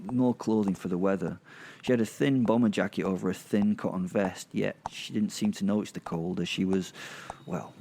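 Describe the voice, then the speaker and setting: A man narrating a horror audio drama in the first person, in character, with sardonic, halting delivery and dramatic pauses. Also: deep voice, vocal fry, warm tone, slight background noise.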